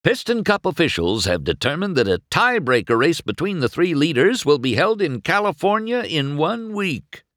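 A man's voice: a little high, big pitch swings, normal speed, hardly any pauses, a bit bright, no echo, studio quality, pitched above the performer's own voice. A man voicing a male race announcer who projects like he's on a PA. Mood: announcing.

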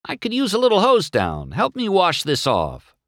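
A man's voice: fairly high pitch, huge pitch swings, unhurried, a bit bright, no echo, clean studio sound, voice pushed up, heavily acted. A man voicing a cocky young race car in a children's story, whiny and self-important. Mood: griping.

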